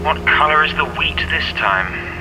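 An actor playing a stern, dutiful spaceship captain in a science fiction audio drama, formal and exasperated. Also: male voice, fairly high pitch, expressive, measured pace, noisy background.

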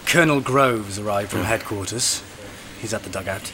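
An actor playing a wry, deferential junior soldier in a period war audio drama, understated and dry. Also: male voice, lowish voice, moderate pace, lightly acted. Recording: loud background noise, clicks.

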